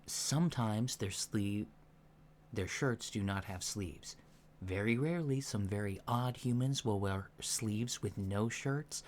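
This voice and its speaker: Casting: male performer for deadpan alien teacher in human disguise, hushed ASMR delivery, lowish pitch, unhurried pace. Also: natural voice. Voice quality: soft, hushed voice. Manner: deliberate, deadpan, instructional. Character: soft-spoken alien instructor in human disguise, earnest and matter-of-fact. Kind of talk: soft-spoken ASMR roleplay lecture. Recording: lo-fi with steady background noise.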